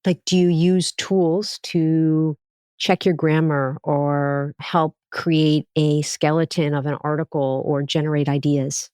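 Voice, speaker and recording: Woman physician host, low and dry, asking earnest, direct questions. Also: steady tone, takes it slowly, darkish tone, no echo. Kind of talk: podcast interview questions and storytelling.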